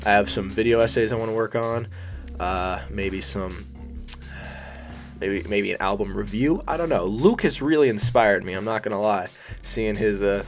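Young guy host, lowish voice, sniffly, rambling self-deprecating voice-over tutorial with faint music behind. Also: normal speed. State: sniffly from allergies. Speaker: YouTube design tutorial host. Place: hot bedroom.

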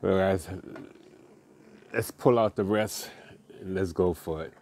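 A man chatting casually about his collection from off camera, relaxed and rambling, with plenty of uhs. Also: deep voice, slow. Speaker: die-cast car collector vlogger. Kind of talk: casual show-and-tell chat.

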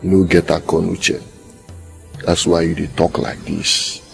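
An actor playing a domineering, sardonic gang boss in a film drama, with a Nigerian accent and a taunting, lecturing manner. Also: male voice, low voice, completely flat delivery, unhurried, gravelly, faint music behind, full character voice. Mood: scornful, taunting. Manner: taunting, lecturing, rhetorical questions. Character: domineering, sardonic male gang boss, self-styled master.

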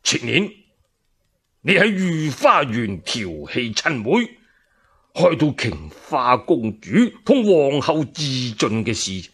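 Indignant old minister, acted by a man, radio drama, husky, slow, declamatory, stammering with outrage. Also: medium pitch, sing-song, fairly bright tone, heavily acted. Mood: accusing. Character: indignant old loyal minister, righteous and accusing. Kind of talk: one-man Cantonese radio storytelling drama.

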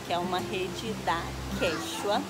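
A woman's voice: lowish voice, unhurried, heavy background noise. A Brazilian woman in her forties, a travel vlogger, cheerfully explaining step by step how to set up a camping hammock, recorded outdoors by a waterfall. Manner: lively, explains step by step while working. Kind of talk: upbeat tutorial vlog. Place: outdoors by a waterfall in woods, and an indoor room.

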